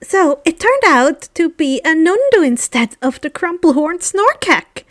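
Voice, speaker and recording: A woman voicing a dreamy, gentle, happy young girl from a fantasy story, with a whimsical and delighted manner. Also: very high-pitched, very animated, a little slow, voice pushed up, heavily acted. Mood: cheerful explaining.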